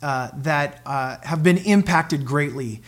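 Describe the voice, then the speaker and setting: A pastor addressing his congregation, a man with a slightly high voice. He talks in a halting way, with fillers and repeated words as he goes. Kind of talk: sermon announcement to a congregation.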